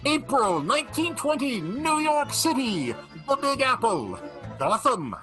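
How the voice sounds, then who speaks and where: A man's voice: extremely high pitch, sing-song, a little slow, bright, forceful tone. A man announcing a comic radio show in a dramatic, playful, showy narrator's style. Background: plucked guitar music.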